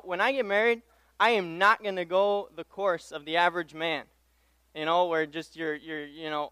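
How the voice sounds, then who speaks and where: A young man speaks from the pulpit in a high-pitched, smooth voice, recorded close and dry. His sermon is conversational and self-deprecating, built on personal anecdotes, and he often circles back over his own phrases. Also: bright, forceful tone, dry recording. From Tanzania. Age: young adult. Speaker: pastoral intern preaching as guest speaker. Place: church pulpit.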